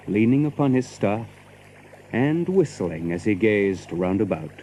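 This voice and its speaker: Storybook narrator, male, soft dark timbre, mid-range, measured and literary, slight noise behind. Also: medium pitch, low-quality recording. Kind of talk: scripted storybook narration in archaic English.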